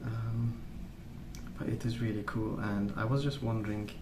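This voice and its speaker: Soft-spoken man, low and creaky. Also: little pitch movement, normal speed. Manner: hesitant, meandering, thinking aloud. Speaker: ASMR video creator. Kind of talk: soft-spoken ASMR rambling while colouring. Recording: roomy, distant mic, noisy background.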